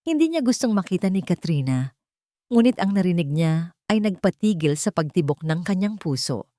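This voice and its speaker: A woman narrates a dramatic romance tale. Her voice sits on the lower side, and the recording is dry with hardly any room sound. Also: very animated, normal speed, dark tone, no echo. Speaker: story narrator.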